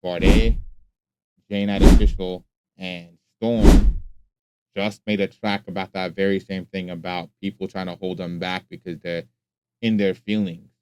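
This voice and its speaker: A man's voice: low voice, flat delivery, unhurried, noisy background. A man hosting a music reaction video, talking casually, bluntly and with plenty of swearing. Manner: blunt and profane, speaks his mind.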